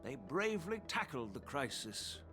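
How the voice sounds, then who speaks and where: A man voicing a dignified, courteous official in a video game, with ceremonious phrasing and a gravelly edge. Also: a little high, sing-song, normal speed. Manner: ornate, ceremonious. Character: courteous, dignified male official, formal and earnest. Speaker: game character voice actor. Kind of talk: formal dramatic game dialogue. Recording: music behind the voice.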